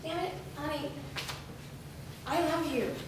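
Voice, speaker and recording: A woman's voice: high-pitched, takes it slowly. A woman reading the part of a frustrated wife who scolds her lazy husband, pleading and exasperated, in a staged reading. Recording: echoey room, far mic, hiss.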